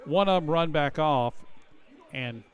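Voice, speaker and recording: An American man giving conversational, halting color analysis at a live high school football game in a stadium. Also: smooth, muffled recording, soft background music. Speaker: color commentator. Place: outdoor stadium.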